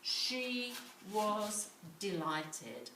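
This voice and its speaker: Slow-paced woman storyteller, echoey room. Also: medium pitch. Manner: animated, repetitive, with dramatic pauses. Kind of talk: children's storytelling, asking the listeners questions. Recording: roomy, distant mic, clearly noisy.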